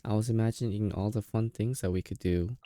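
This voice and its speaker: A man's voice: fairly low pitch, fairly even intonation, fairly quick, dark tone. A man livestreaming a game in casual chat, sounding tired, rambling and playfully teasing. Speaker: game streamer. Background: game music.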